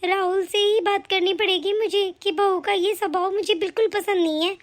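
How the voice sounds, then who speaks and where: A woman's voice: very high voice, fairly even intonation, moderate pace. A woman voicing a stern, scolding older mother-in-law in a family skit. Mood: lamenting. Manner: scolding, indignant.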